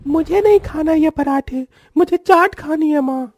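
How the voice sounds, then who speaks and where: A woman's voice: sits high, unhurried, a little mellow, no room sound, soft background music. A woman playing a stubborn, whining young boy who pleads with his parents in a story. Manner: whiny, pleading.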